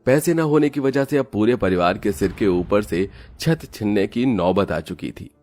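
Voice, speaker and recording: A man's voice: lowish voice, lively intonation, moderate pace, a little mellow, faint music behind. A man giving scripted narration for a cartoon story, telling it steadily and evenly.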